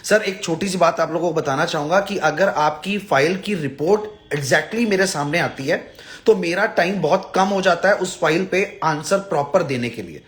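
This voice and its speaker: Man, high-pitched voice, live-stream host, exasperated, blunt, scolding, emphatic repeated pleas. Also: normal speed. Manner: emphatic, repetitive pleading. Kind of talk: live social media address to followers.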